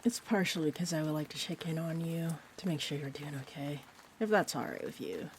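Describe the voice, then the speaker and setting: A woman acts out a sweet, bashful female vampire in a roleplay audio, her voice notably low for a woman. She rambles in a gentle, hesitant, intimate way. A faint wash of rain sits behind her. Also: fairly quick. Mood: shy, hopeful. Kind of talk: roleplay audio, intimate one-sided chat. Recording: steady rain-like ambient noise. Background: rain ambience.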